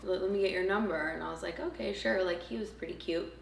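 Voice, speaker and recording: A young woman from Montreal with French as her first language tells a story in a relaxed, wry manner. Her voice sits fairly low. She sounds a little away from the mic in a roomy space with faint background hiss. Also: fast, gravelly, far from the mic. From Montreal, Canada. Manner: relaxed, wry, laughing along. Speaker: professional choreographer. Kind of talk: casual storytelling.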